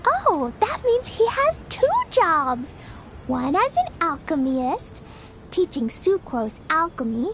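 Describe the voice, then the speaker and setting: A woman voicing a cheerful, innocent little girl in an animated video game, talking with childlike enthusiasm. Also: extremely high pitch, a little hiss or hum, exaggerated voice. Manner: childlike, enthusiastic, simple wording.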